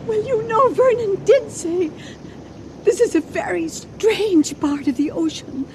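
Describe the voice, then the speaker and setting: On a noisy old radio recording, an anxious wife with a high-pitched voice. She is hesitant and fretful, full of doubt, in the dramatic manner of a radio thriller. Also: animated, a little slow, darkish tone. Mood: frightened awe. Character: anxious, doubting wife plotting to hide a corpse.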